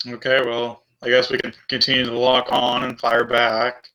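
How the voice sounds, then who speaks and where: Guy playing a tabletop rpg, sharp bright voice, pretty flat delivery, casual chat. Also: medium pitch, moderate pace, rough recording. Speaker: tabletop game player. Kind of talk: casual game talk.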